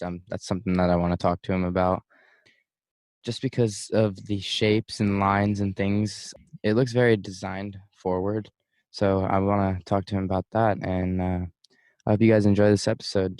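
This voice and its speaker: A man hosts a casual podcast chat, leaning on filler words as he talks. His voice sits low. The sound is dry and close. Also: smooth, dry recording. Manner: relaxed, frequent "like" and "um" fillers. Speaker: podcast host. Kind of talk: casual conversation.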